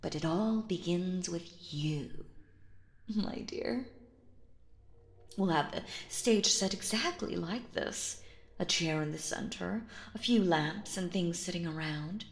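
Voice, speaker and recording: Casting: woman as sinister female magician, possessive and coaxing, theatrical, very unhurried, lowish voice, roleplay audio. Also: roomy sound. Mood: showy, commanding. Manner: theatrical, slow, silky, addresses listener as dear. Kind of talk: scripted roleplay audio acting.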